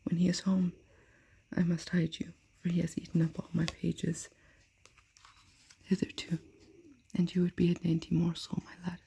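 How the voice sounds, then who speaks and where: A bossy giantess from a storybook, whispered by a woman. The breathy whisper stays dark and utterly flat, with a gravelly edge. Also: on the low side, moderate pace, long pauses, slight background noise, low-quality recording. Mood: scolding. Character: bossy giantess, housewife of a man-eater.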